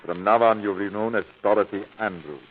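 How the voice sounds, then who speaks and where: A thin, tinny 1930s radio recording holds an actor's performance of a male criminal hypnotist. His voice is on the low side. He is domineering and condescending, every line meant to menace and control. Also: fairly even intonation, fairly quick, close to an everyday voice. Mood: controlled menace. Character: smooth-talking, domineering criminal hypnotist, megalomaniac man. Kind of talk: 1930s radio villain acting.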